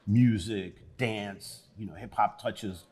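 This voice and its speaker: A man speaking as an interview guest, telling how the event began in a relaxed storytelling way. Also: lowish voice, takes it slowly, breathy edge. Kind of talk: conversational interview.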